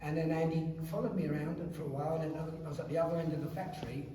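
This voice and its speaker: A man in his sixties with an Essex accent and a hoarse, failing voice, telling a cheeky, profane story on stage at a conference. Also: fairly high pitch, rapid-fire. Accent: Essex English. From Essex, England. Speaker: guest musician. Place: conference venue hall. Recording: echoey hall, distant mic, noisy room.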